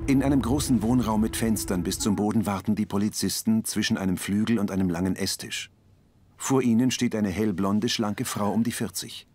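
A man's voice: fairly low pitch, steady tone, normal speed, darkish tone. A man reading scripted audio description for a TV crime comedy in a neutral, matter-of-fact way.

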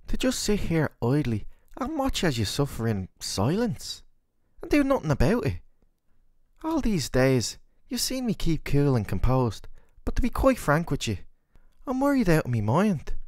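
A man's voice: a little high, darkish tone, no room sound, studio quality. A man playing a worried, tender boyfriend in an audio roleplay, speaking in a soothing, earnest, affectionate way. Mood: upset, worried. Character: worried, tender, doting boyfriend caring for his sick partner. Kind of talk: scripted boyfriend roleplay ASMR.

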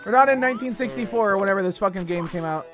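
A man in his twenties streaming a game, joking crudely with friends and yelling at his own mistakes. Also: sits high, rapid-fire. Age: 20s. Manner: crude, joking, sudden frustrated outbursts. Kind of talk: casual gaming stream chat. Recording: game music playing behind. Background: game music.

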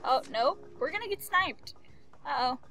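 Very high, excitable woman gamer. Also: moderate pace, vocal fry, a little hiss or hum, low-quality recording. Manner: playful, excitable, singsong. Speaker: gaming video host. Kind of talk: casual playthrough commentary. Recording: game music behind the voice.

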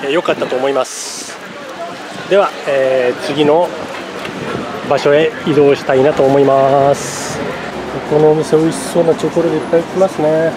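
A man's voice: a little high, steady tone, moderate pace. A man narrating his travel vlog in casual chat, thinking aloud with lots of fillers as he walks around a city and eats. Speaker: travel vlogger. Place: outdoors on city streets and in a cafe. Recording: street noise behind, camera mic.

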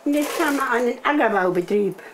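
A woman in her eighties with a Palatinate German accent, talking through a recipe in a home kitchen. Her voice sits a little high, patient and instructive with frequent chuckles. Pots, cutlery and dishes clatter clearly behind her lapel mic. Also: lively intonation, fast, a little hiss or hum. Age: 80s. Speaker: farm cook sharing recipes. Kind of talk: casual cooking chat.

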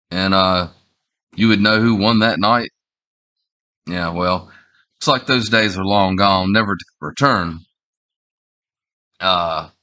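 A man in his forties with a head cold that makes him sound nasal, talking in a halting, rambling way with many fillers and restarts. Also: on the low side, sharp tone. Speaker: online commentator. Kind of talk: casual opinion vlog. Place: small indoor room at home.

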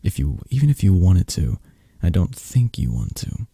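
A man playing a possessive, flirtatious mafia boss who comforts a lover in intimate ASMR roleplay, murmuring softly and haltingly in a breathy, gravelly voice. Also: low-pitched, very animated, dry recording, studio quality, full character voice. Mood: possessive, reassuring. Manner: slow, soft, halting, soothing murmur.

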